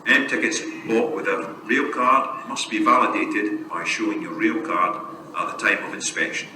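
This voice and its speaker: Male announcer, scripted public information, formal read, far from the mic with clear noise around him. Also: on the low side, normal speed. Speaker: recorded station announcer. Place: railway station, heard over public address speakers. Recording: distant, echoey, noisy station tannoy. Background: station ambience and noise.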